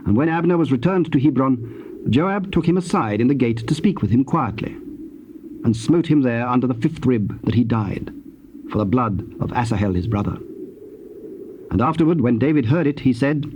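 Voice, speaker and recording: A man narrating scripture from a script in a measured, formal storytelling manner. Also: medium pitch, lively intonation. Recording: noisy old cassette tape transfer.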